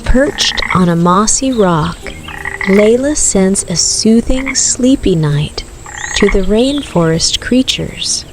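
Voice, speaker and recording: A woman narrating a scripted bedtime story for toddlers in a soothing, gentle, unhurried manner. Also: mid-range voice, lively intonation, background noise. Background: music with rainforest night sounds of rain, crickets and frogs.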